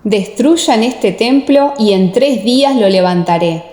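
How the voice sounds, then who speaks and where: A solemn teacher speaks with authority in a Bible audio drama , voiced by a woman. The delivery is emphatic and declarative. The pitch is on the higher side. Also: animated, takes it slowly, dark tone, roomy sound, in a large hall. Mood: commanding.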